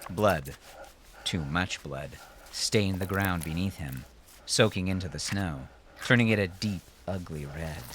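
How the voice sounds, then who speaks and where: A man narrating scripted fiction as an audiobook reader, in a measured, dramatic manner. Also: sits low, noisy background.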